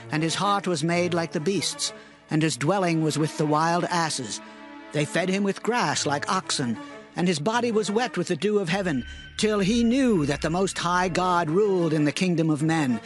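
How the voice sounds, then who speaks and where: A man's voice: on the high side, natural voice. A man playing a solemn prophet who declaims judgment on a king in archaic English, in a dramatized scripture reading. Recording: music clearly under the voice.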